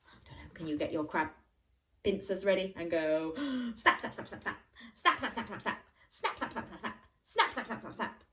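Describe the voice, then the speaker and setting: A woman's voice: mid-range voice, normal speed, slight background noise. Woman reading playfully to young children. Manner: animated, playful asides with questions to the listener. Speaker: children's storyteller. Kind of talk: interactive bedtime story reading for young children.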